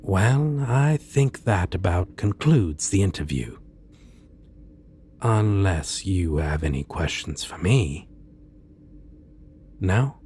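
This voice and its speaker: A man playing a suave, theatrically polite supervillain in an ASMR roleplay, speaking with deliberate pauses and sly menace. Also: low-pitched, expressive, darkish tone, slight background noise. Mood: cordial, pleased. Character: suave male supervillain interviewing a minion, courteous, slyly menacing. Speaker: roleplay voice performer. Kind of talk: ASMR roleplay, scripted monologue.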